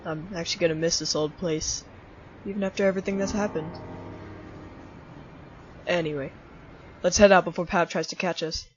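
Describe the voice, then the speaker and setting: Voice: medium pitch, steady tone, normal speed, long pauses, slight background noise, lightly acted. An actor voicing a laid-back, joking male skeleton monster in a comic dub, talking in a casual, easygoing way. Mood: wistful. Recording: dull lo-fi audio with faint music.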